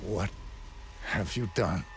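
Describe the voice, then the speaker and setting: Very deep stoic hitman. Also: slow pace, very noisy. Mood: wary. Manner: terse, restrained. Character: stoic male professional assassin, guarded and terse. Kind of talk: dramatic video game cutscene acting.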